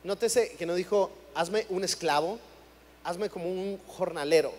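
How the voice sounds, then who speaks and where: A high-voiced young man from Chihuahua, Mexico, preaching a sermon in a conversational tone and often doubling words as he begins a thought. His voice carries a long reverb through a church hall. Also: unhurried, a little mellow. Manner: conversational, repeats words when starting phrases.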